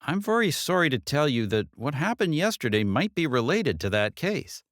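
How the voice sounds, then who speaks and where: A man voicing a concerned female police detective in an audiobook, with a measured, official, earnest manner. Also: on the high side, sing-song, fairly bright tone, no echo, studio quality, pitched above the performer's own voice. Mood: grave.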